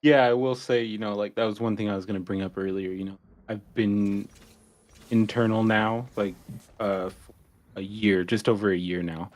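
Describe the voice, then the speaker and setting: A man moderating an online town hall, relaxed and joking, with lots of casual fillers. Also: on the low side, moderate pace.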